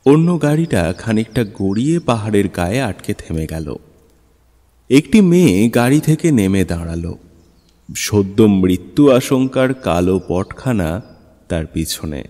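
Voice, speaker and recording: A man narrating a literary story in a measured, expressive, dramatic style. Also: lowish voice. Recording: long studio reverb, music underneath. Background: music.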